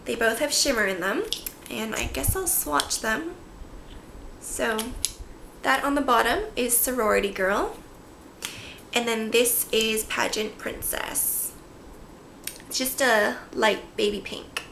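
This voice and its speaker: A woman's voice: fairly high pitch, slow, vocal fry, pauses often, fairly bright tone. A woman in her twenties chatting casually to camera as a beauty vlogger, often breaking off into little asides. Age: 20s. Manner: chatty, self-interrupting asides. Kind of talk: casual chat to camera showing a product haul. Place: small bare-walled room indoors. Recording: echoey room with faint background noise.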